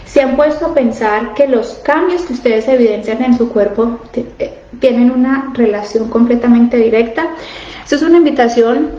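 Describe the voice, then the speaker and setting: A woman's voice: a little high, moderate pace, dark tone, echoey room, muffled recording. A woman in her thirties coaching her audience in a casual live stream, earnest and asking direct questions. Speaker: coach on emotional eating, live stream host. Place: home, living abroad.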